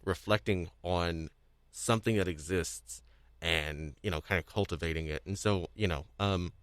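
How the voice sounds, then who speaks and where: A man hosting a casual panel discussion, speaking haltingly and often repeating himself as he restarts phrases. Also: low-pitched, a bit bright, no echo. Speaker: podcast host.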